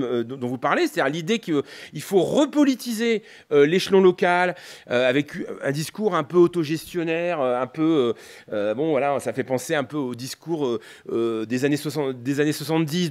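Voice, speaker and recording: A man giving an analytical, semi-improvised academic lecture, often hesitating, breaking off and rephrasing as he explains. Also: a little high. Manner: hesitant, repeatedly breaking off and rephrasing, punctuated with rhetorical tags. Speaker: political scientist, university lecturer.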